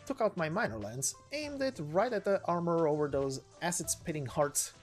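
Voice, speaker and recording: A man voicing a brash, battle-hardened lance knight, proud and bitter, with a blunt, halting delivery in a game story. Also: a little high, expressive, faint music behind, lightly acted. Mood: bitter, intense.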